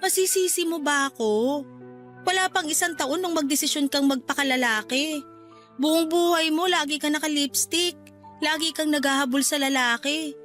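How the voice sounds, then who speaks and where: A woman's voice: sits high, moderate pace, fairly bright tone, soft background music. A woman playing a jealous, hurt, defensive wife in a Filipino radio drama, arguing and pleading with a Filipino accent. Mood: hurt, accusing. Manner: argumentative, pleading.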